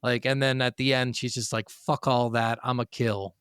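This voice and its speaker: A man's voice: medium pitch, fairly even intonation, normal speed, a bit bright, clear, resonant tone, dry recording, clean studio sound. A man co-hosting a podcast, talking informally and bluntly about video games, with casual swearing. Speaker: podcast co-host.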